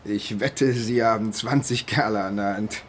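An actor playing a pushy, easily flustered police detective in a naturalistic German radio drama. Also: male voice, fairly low pitch, steady tone, normal speed, a little hiss or hum, natural voice. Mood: smooth, courting.

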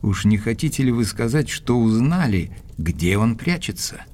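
An actor playing a courteous, inquisitive English gentleman doctor in an audio drama, speaking in a measured, polite, articulate way. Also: fairly low pitch, sing-song, slight background noise. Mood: curious. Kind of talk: audio drama dialogue and narration.

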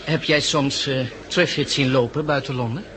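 An actor playing a polite, inquisitive former newspaper reporter in a 1960s Dutch radio drama. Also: male voice, medium pitch, fairly even intonation, normal speed, noisy background, natural voice. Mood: polite, curious. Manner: courteous, inquisitive. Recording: old radio recording with crowd hubbub.

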